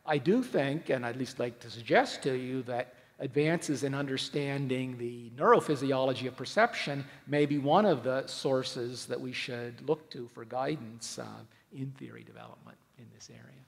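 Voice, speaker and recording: A man giving a formal academic lecture, speaking in a measured, careful, hedging manner. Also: medium pitch. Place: large hall, public lecture. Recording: reverberant lecture hall.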